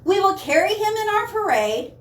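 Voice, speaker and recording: Woman as a high, bossy, cheerful storybook female. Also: sing-song, moderate pace, reverberant, slight background noise. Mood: emphatic, excited.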